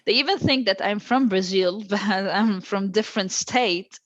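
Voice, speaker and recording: A woman's voice: medium pitch, measured pace, fairly bright tone, muffled recording. A woman, a guest who answers with emphatic, repeated phrases. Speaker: guest, stateless-rights advocate. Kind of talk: earnest interview answers.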